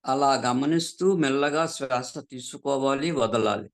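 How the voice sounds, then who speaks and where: Gravelly man guiding a meditation. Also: medium pitch. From southern India. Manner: calm, unhurried, with frequent pauses between short phrases. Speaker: meditation teacher. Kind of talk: guided meditation instruction.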